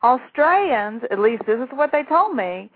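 Gravelly Southern woman on a phone line. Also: on the high side, big pitch swings, normal speed, no echo. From Shreveport, Louisiana. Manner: rambling, self-deprecating, laughs while telling stories. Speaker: author and guest. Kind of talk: phone interview, storytelling.

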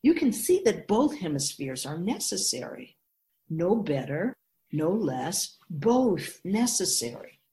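Radio drama: ageless female keeper of souls an actress, wise and instructive, deliberate pauses, lower register with animated melody. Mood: reverent, solemn. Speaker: teacher figure in a radio drama. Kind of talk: scripted radio drama, explanatory dialogue.

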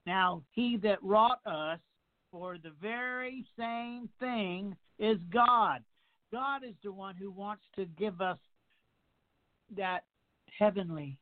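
A man's voice: high-pitched, slow. A man in his sixties preaching a radio sermon, stacking up repeated phrases and emphatic rhetorical questions. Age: 60s. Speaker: pastor. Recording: tinny phone line.

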